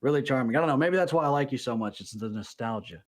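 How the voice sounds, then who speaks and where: A man's voice: medium pitch, animated, quick pace. A man from Florida co-hosting a casual podcast, talking in a friendly, enthusiastic way with many restarts.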